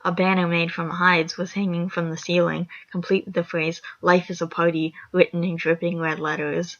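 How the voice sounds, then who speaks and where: A young woman with a raspy voice, reading a horror fan story aloud, rambling and apologetic with chatty asides. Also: on the low side, little pitch movement, moderate pace, fairly bright tone. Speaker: story reader. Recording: lo-fi dull recording.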